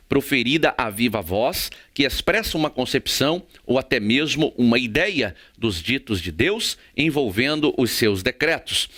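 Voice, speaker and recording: A Brazilian man giving a prepared theology lecture in a formal, didactic way with measured phrasing. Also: mid-range voice, a bit bright. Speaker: theology teacher.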